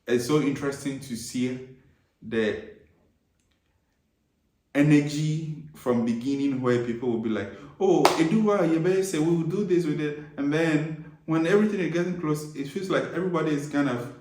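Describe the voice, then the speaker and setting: A man's voice: fairly high pitch, moderate pace, darkish tone, roomy sound, rough recording. A man born and raised in Ghana, chatting casually with his wife as co-host of their travel vlog, rambling with restarts and laughing. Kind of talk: casual couple chat.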